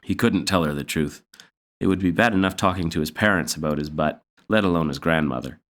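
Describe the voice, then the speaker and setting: A man with a low-pitched voice reads a children's story aloud. He delivers it as scripted storybook narration. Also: fairly quick, a bit bright. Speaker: story reader.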